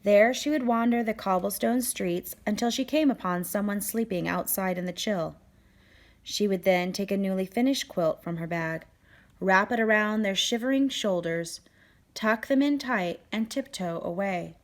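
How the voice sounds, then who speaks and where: A woman storyteller reads a children's picture book aloud. Her voice sits at a middling pitch, and she phrases deliberately, giving key words expressive, dramatic emphasis.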